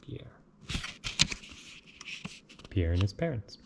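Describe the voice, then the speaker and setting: A man reads a children's storybook aloud in a deliberate, lilting way, with casual asides. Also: on the low side, big pitch swings, gravelly, highs cut off, background noise. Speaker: reader of picture books.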